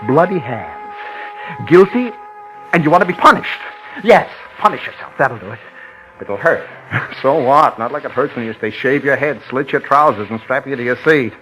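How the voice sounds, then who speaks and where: An actor playing a scheming prisoner who feigns guilt-crazed madness, in melodramatic vintage radio drama style, swinging between muttered asides and rants. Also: male voice, medium pitch, animated, moderate pace, a bit bright, faint music behind, natural voice, poor audio. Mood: self-coaching, intense.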